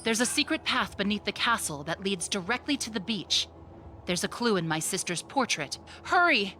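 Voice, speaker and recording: A woman's voice: mid-range voice, big pitch swings, normal speed, pushed and bright, faint music behind. An actress playing a poised, commanding young royal woman in a fairy-tale video game, earnest and formal. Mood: urgent. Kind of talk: dramatic video game acting.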